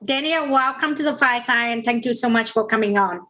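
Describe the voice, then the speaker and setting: A woman with a somewhat high voice moderates a fireside chat. She speaks in a conversational interview style as she addresses her guest. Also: a little fast, sharp tone, a little hiss or hum.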